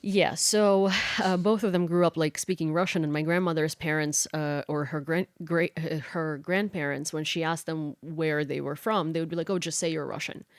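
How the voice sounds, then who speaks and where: A young woman from Ukraine, co-hosting a casual podcast and telling a story in an animated way, full of "like". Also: low voice, no room sound, clean studio sound. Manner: animated storytelling, lots of "like" and laughing. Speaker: podcast co-host. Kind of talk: casual chat.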